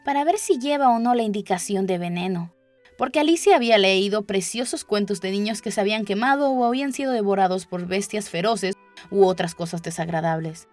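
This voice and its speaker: Casting: female audiobook narrator for a children's tale, mid-pitched voice, clear music bed underneath. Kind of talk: scripted children's story narration. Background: background music.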